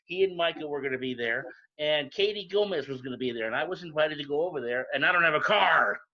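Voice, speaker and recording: Guy talking quick and kinda high, bright voice, lo-fi call audio. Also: animated. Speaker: amateur voice actor and security guard. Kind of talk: casual chat on a group live stream.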